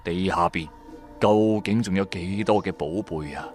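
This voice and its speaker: A man's voice: low voice, unhurried, faint music behind. A man playing a shrewd, wry trader who narrates his own adventures in colloquial, sardonic radio-drama style. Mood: wry, animated. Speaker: narrator and lead character.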